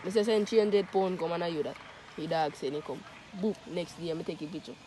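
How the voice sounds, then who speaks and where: A young Jamaican man with a high voice spins stories for his friends. He talks in animated slang and swears freely, with some outdoor noise behind him. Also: a little fast. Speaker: storyteller in a group of friends. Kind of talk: casual group storytelling.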